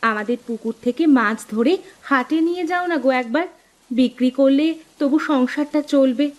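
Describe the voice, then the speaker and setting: Village wife for a cartoon played by a woman: high-pitched, persuasive, insistent, hopeful. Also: moderate pace, darkish tone, lightly acted. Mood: coaxing. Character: practical, optimistic village housewife urging her husband. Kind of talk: dramatised cartoon dialogue.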